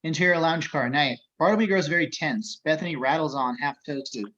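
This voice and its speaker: A man's voice: on the high side, brisk, pushed and bright. A man reading out screenplay stage directions as narrator at a table read, on a slightly dull home recording.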